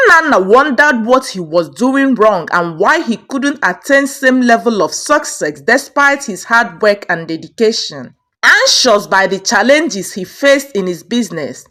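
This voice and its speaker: Lively, high-pitched woman storyteller. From Nigeria. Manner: lively, rising on dramatic turns. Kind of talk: scripted storytelling narration.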